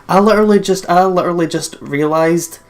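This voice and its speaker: Game co-host, medium pitch, casual commentary, chatty. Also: a little fast. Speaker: let's play co-host.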